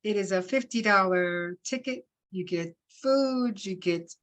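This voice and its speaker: A woman hosting a talk show over a video call, upbeat and lively as she chats with her guest. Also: medium pitch, unhurried. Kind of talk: casual chat. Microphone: video call mic.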